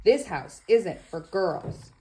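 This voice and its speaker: Woman playing a stubborn, bossy little boy, animated. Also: mid-range voice, slow, poor audio. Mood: possessive, bossy. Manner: bossy, emphatic.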